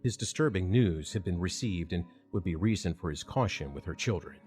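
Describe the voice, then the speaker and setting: Male narrator for audio drama: deep voice, scripted storytelling, faint music bed. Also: lively intonation, a little fast, a little mellow.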